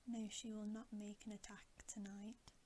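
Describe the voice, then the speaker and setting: Woman doing a wise tired lion king from a storybook, grave and slow, all whispered, soft dark tone. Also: mid-range voice, level tone, a little hiss or hum, natural voice. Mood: weary, resigned. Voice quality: whispered, breathy. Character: solemn, weary male lion, a wise leader. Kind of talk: whispered ASMR storybook reading.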